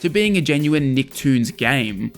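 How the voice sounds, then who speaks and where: Young adult man, low-pitched voice, scripted video review narration, clear music behind. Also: expressive, a little slow. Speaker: video host and game reviewer.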